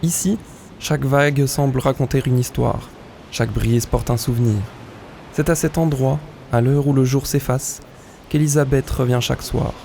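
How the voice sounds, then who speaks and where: Casting: male narrator, warm low-lit tone with vocal fry, solemn and unhurried poetic delivery for audio fiction. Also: fairly even intonation, a little hiss or hum. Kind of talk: scripted storytelling narration.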